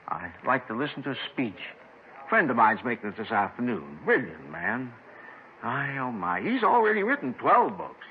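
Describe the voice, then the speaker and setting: An actor playing a rambling, eccentric robbery suspect with a querulous, folksy American delivery in a 1950s radio drama. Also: male voice, mid-range voice. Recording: tinny, noisy 1950s radio recording.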